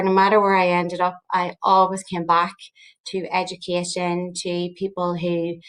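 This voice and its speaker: A woman's voice: on the low side, low-quality recording. A woman with a Northern Irish accent answers a panel question on a video call from home, hesitant and full of fillers. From Northern Ireland. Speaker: research director. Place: home room with lamps.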